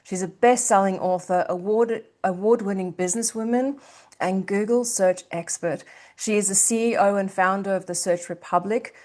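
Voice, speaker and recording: A woman's voice: medium pitch, moderate pace, a little mellow. A woman hosting a podcast, gushing and effusive, with lots of hesitant fillers. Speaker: podcast host. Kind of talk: casual podcast interview.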